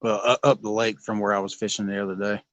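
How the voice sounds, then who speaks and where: A man's voice: fairly low pitch, level tone, fast talker. A man, joking and chatting casually while night fishing on a lake, coaxing the fish aloud. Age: 40s. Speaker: fishing vlog host.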